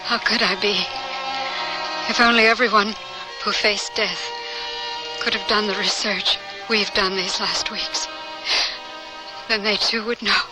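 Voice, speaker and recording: An actress playing a devoted, serene woman scientist facing death with fervent conviction, in measured, earnest old-time radio drama style. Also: medium pitch, steady tone, music in the background, natural voice, rough recording. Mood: tender, serene resolve. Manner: theatrical, earnest, measured delivery.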